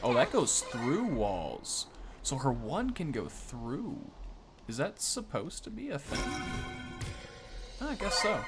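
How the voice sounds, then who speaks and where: A man's voice: fairly high pitch, huge pitch swings, slow, over music. A young man giving casual, off-the-cuff game commentary, thinking aloud informally as he goes. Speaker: gaming video host.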